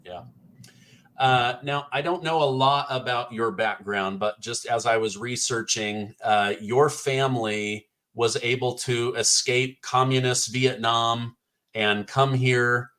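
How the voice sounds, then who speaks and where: A man's voice: mid-range voice, steady tone, slow pace, a bit bright. A man hosting an interview, asking questions in a casual way with frequent fillers. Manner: casual, uses "like" and "um" while asking. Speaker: podcast host. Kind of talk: conversational interview.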